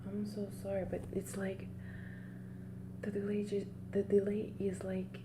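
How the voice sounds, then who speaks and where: Young woman hosting an ASMR stream: soft-spoken and whispery, leisurely pace, heavy noise behind her. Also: medium pitch, measured pace. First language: Italian. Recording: loud steady background noise.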